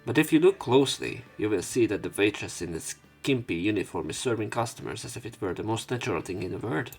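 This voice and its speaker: A man with a fairly low voice narrates a visual novel as a let's play host. He reads aloud theatrically and slips in casual asides. Faint music runs underneath. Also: animated, fast, darkish tone, slightly rough.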